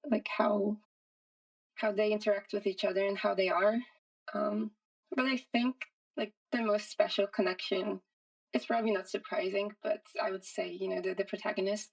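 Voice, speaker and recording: A woman's voice: mid-range voice, creaky, darkish tone, far from the mic, low-quality recording. A woman speaking casually and thoughtfully as an interview guest, feeling her way through her answers with some hesitation. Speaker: interview guest, indie game creator.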